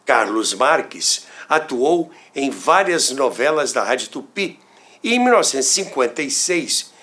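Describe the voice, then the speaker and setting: An older man with a Brazilian accent tells anecdotes in a theatrical, deliberate way, with dramatic pauses and asides to the listener. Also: medium pitch, very animated, sharp tone. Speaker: storyteller, former radio worker. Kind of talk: animated anecdotal storytelling podcast narration.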